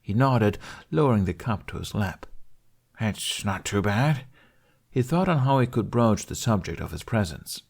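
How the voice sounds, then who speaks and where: A man's voice: on the low side, sing-song, normal speed, gravelly, hardly any pauses, darkish tone. A man reading scripted fiction as an audiobook narrator.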